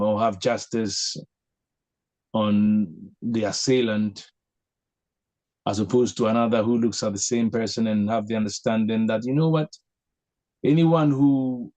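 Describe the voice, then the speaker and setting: A man hosting a reflective spiritual discussion over a video call, earnest and posing long searching questions with many pauses. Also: on the low side, warm tone, low-quality recording. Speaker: host leading a discussion.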